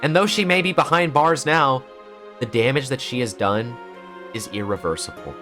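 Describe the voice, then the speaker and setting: A man's voice: medium pitch, moderate pace, bright, forceful tone, faint music behind. A man delivering scripted, analytical video essay narration in an earnest manner with dramatic emphasis.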